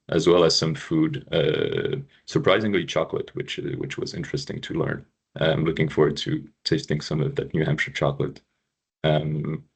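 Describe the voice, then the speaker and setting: A man's voice: very deep voice, slightly rough. A man from Quebec with a French accent, giving an informative webinar presentation with frequent ums and uhs. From Quebec, Canada. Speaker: trade consultant presenting.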